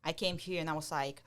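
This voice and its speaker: Guest, thirties, Bulgarian accent, lower register, blunt and no-nonsense. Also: female voice, a bit bright. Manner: blunt, direct, laughs easily. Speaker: guest, loan officer. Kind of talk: casual podcast interview.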